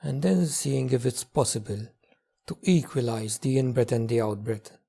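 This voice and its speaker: A man leading a guided meditation as a teacher, speaking gently in short phrases with long pauses. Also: mid-range voice, creaky, husky. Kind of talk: guided meditation, slow spoken instruction.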